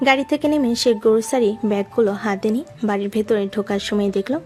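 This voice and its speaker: A woman's voice: medium pitch, quick pace. A woman narrating a scripted romantic story in a dramatic storytelling style. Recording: music behind the voice.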